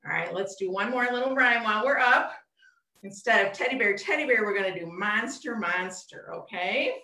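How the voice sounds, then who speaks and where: A woman's voice: medium pitch, lively intonation, moderate pace, pushed and bright. A middle-aged woman leading an interactive storytime for young children, asking simple questions in short phrases over a video call. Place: small room at home, indoors. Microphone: computer mic on a video call, a step away. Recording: roomy echo, webcam video call.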